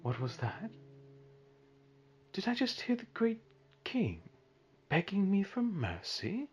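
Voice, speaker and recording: A grandiose dark sorcerer in a fantasy audio drama, taking his time over every threat. His mocking voice swings dramatically up and down, slightly muffled, with music underneath. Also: high voice, wildly swooping pitch, breathy edge, exaggerated voice. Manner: theatrical, drawn-out, sneering delivery. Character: menacing, mocking, grandiose male evil sorcerer. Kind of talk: fan audio drama villain acting. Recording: dull, muffled recording with music behind.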